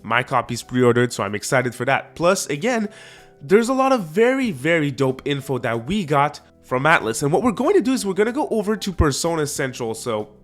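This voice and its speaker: Excited French-accented man over faint music. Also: a little high, fairly quick. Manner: enthusiastic, stumbles over names. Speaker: gaming news host. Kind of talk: casual news commentary reading from a website.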